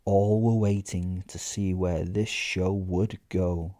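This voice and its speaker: A man's voice: deep voice, fairly even intonation, slow pace, dark tone, no echo, studio quality. A man narrating a first-person horror comedy story as a podcast host, with wry, conversational asides.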